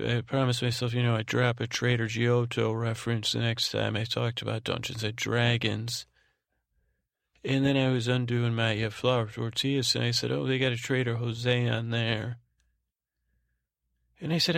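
Creaky-voiced man rambling soothingly. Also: medium pitch, no room sound, clean studio sound. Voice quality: soothing, soft-spoken drone. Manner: meandering, halting, repeats and trails off. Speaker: host of a sleep podcast. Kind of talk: rambling bedtime monologue meant to lull.

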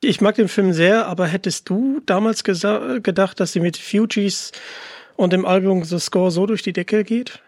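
Calm podcast host in a dry room. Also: male voice, high voice, moderate pace, no room sound. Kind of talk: interview questions.

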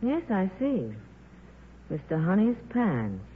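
A worldly female film star, wry and breezy but soft at heart, her intonation rising and falling widely. The 1950s radio drama recording is thin and narrow, with faint noise. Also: mid-range voice, wildly swooping pitch, takes it slowly. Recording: tinny, noisy old radio broadcast.

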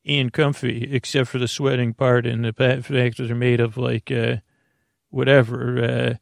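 A man's voice: medium pitch, level tone, moderate pace, vocal fry, no room sound, clean studio sound, lightly acted. A man playing a goofy male inventor who shows off his balloon underwear, saying "me" for "my". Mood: hammy. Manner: hammy, pirate-like "me" for "my".

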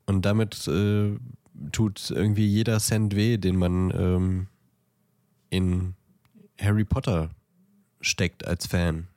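A man co-hosting a podcast, talking casually and thinking aloud in a halting, deliberative way. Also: deep voice, little pitch movement, dark tone, no echo. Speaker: podcast co-host.

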